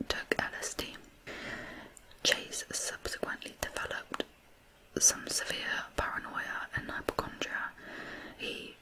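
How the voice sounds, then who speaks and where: A woman hosting ASMR true crime, narrating in a soft, breathy whisper with a measured manner. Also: rough recording.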